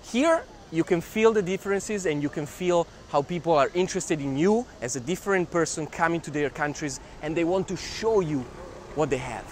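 High-voiced young Italian man outdoors. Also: normal speed. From Italian family, raised near Milan. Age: 20s. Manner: animated, exclamatory, repeats phrases. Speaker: travel filmmaker. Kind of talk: talking to camera about life and travels. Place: outdoors in city squares and streets. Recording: clear urban street noise.

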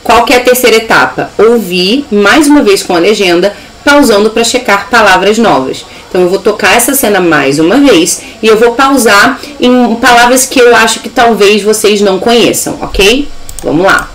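Young Brazilian woman, mid-pitched, informal online English teacher who enunciates her example phrases. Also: normal speed, a bit bright, low-quality recording. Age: young adult. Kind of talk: informal video lesson.

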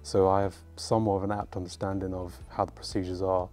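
Low, even-toned man with a London accent. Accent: London English. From United Kingdom. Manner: measured, articulate, formal wording. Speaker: medical student. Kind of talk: interview answers. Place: university lecture hall with red seats. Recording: soft music under the voice.

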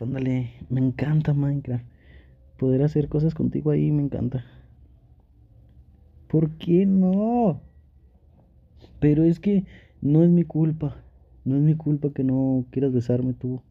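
A man acts out a young boyfriend in an ASMR roleplay, affectionate but pouty. His voice sits fairly high and is husky, coaxing and teasing as if to a partner right beside him. The sound is a little dull. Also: a little slow, pauses often. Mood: sulky, coaxing, then protesting, playful. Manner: playful, pleading, sweet-talking with pet names. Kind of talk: Spanish ASMR boyfriend roleplay, intimate one-sided dialogue.

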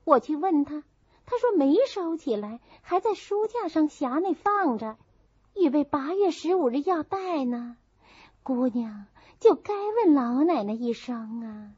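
A woman's voice: high voice, expressive, unhurried, mellow, dry recording, voice pushed up. A woman voicing an outspoken young maidservant in an audiobook, indignant and insistent. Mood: complaining. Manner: insistent, complaining. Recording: muffled, dull audio.

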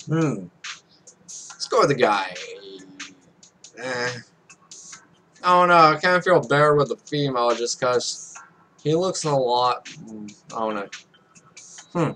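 A man's voice: medium pitch, lively intonation, slow pace, pauses often, sharp tone, soft background music. A man in his twenties casually commentating a game and reading its text aloud, with rambling, offhand asides. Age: 20s. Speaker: let's play host. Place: small home room, indoors. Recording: noisy home recording.

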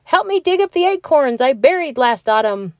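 A woman's voice: high-pitched, sing-song, moderate pace, no echo, studio quality, pitched above the performer's own voice. A woman doing an eager little squirrel. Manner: calling out brightly.

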